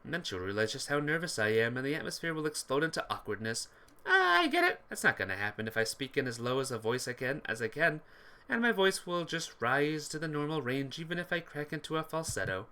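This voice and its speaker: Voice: mid-range voice, sing-song, fairly quick, sharp tone. A streamer reading a visual novel aloud expressively, with casual asides to viewers. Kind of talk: game playthrough narration with chat asides.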